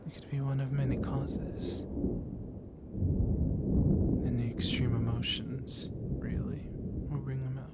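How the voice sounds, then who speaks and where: Slow, seductive vampire librarian, played by a man, stormy backdrop. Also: medium pitch, flat delivery, heavy background noise, natural voice. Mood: seductive, predatory. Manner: slow, hushed, flirtatious, with lingering pauses and breathy whispers. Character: seductive, soft-dominant male vampire librarian. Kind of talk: ASMR roleplay. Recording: loud rainstorm ambience with thunder. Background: rain and thunder ambience.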